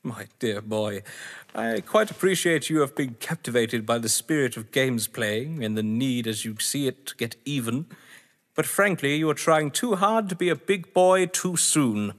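An actor playing a haughty, condescending gentleman in an audio drama, with a patronising, clipped, theatrical delivery. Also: medium pitch, normal speed, natural voice. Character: haughty, condescending gentleman crime schemer under threat. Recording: reverberant hall-like room.

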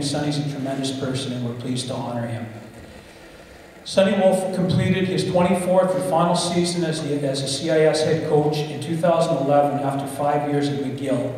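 A man's voice: fairly high pitch, darkish tone. A middle-aged man reads a formal citation from a lectern on a large theatre stage, now and then tripping over a word and fixing it. The sound is roomy, with a long reverb off the hall, and the voice is picked up from some way back. A faint room hum sits underneath. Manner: reads a citation, with some stumbles and self-corrections. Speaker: athletic director giving induction remarks. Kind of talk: formal speech read at a lectern. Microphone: lectern mic on a stand. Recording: echoey hall, mic heard from a distance.

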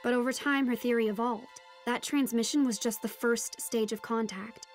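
A woman playing a teenage daughter, telling her adventure in measured first-person narration for an audio drama. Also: a little high, fairly even intonation, lightly acted. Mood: reflective. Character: teenage daughter recounting a deep-sea adventure. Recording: music behind the voice.

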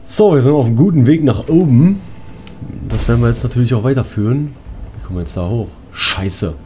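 A man's voice: medium pitch, expressive, brisk, dark tone, a little hiss or hum. A man giving casual, colloquial gameplay commentary in speech, talking half to himself and half to his viewers. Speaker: let's player. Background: game music.

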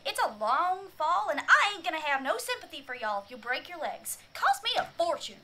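A woman's voice: high voice, big pitch swings, brisk, background noise. A woman playing a folksy, nosy small-town Southern woman who chats away in a radio drama. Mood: nagging, cheerful. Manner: folksy, chatty.

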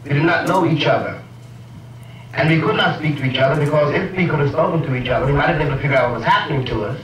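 A man telling a reflective story in a measured, ironic way, heard from an old film clip. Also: a little high, echoey room, distant mic, slight background noise, rough recording. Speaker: speaker in an archival film clip. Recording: old film clip played in a room from afar.

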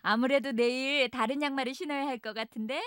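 A woman voicing a cheerful, tidy mother of a young boy in a storybook cartoon, talking in a warm, amused, gently teasing way. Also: sits high, steady tone, quick pace, smooth, dry recording, studio quality.

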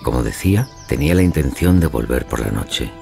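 Pompous mystery narrator for radio play, super deep voice, music behind. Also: male voice, animated, a little fast, vocal fry, a little mellow, exaggerated voice. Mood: grave, knowing. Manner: self-assured, pompous. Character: theatrical male storyteller playing an Oedipus-like sleuth. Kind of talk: dramatic scripted narration.

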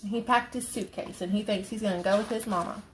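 A woman in her thirties reading a picture book aloud as a mother, pausing to explain it simply to a young child. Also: mid-range voice, steady tone, slight background noise, poor audio. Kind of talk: storybook read-aloud. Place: small bedroom, indoors.

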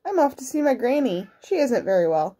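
A wide-eyed little girl from a fairy tale, as a woman narrator puts her on. She speaks high and lilting, with innocent, wondering exclamations. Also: sing-song, fairly quick, mellow. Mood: cheerful.

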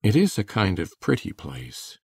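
Storybook old farmer , voiced by a woman: gruff, gravelly, animated pitch, shy and slow to find his words. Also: heavily acted. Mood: hesitant. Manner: hesitant, plain-spoken. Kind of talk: dramatic audiobook reading.

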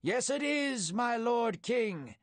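A man voicing a bold, righteous young warrior in a storybook tale, shouting in a loud, declamatory way. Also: very high voice, lively intonation, takes it slowly, no echo, pitched above the performer's own voice, heavily acted. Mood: pleading defiance. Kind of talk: dramatic storybook acting.

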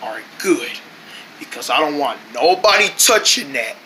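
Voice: medium pitch, big pitch swings, moderate pace, a crowd in the background, pitched below the performer's own voice, lightly acted. A performer voicing a bossy, money-guarding boss puppet in a homemade skit. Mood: stern, possessive.

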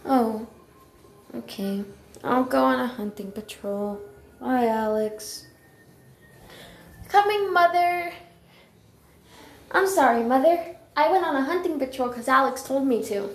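A woman voicing an earnest, eager young apprentice cat in a childlike, pleading way, as for animated cartoon lines. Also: sits high, takes it slowly, long pauses, reverberant. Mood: meek, deflated. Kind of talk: character voice lines for a fan animation.

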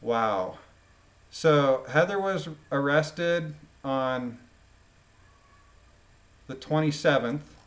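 A man reading a document aloud and adding informal, emphatic commentary with halting phrasing. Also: mid-range voice, expressive, fairly bright tone. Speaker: commentator, former police officer.

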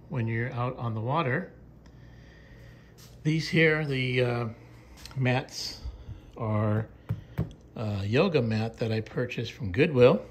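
A man casually showing off the boat he modified in his garage, speaking plainly and slowly with frequent fillers, recorded on a handheld phone. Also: medium pitch, slight background noise. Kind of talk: casual walkaround show-and-tell. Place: indoor home garage.

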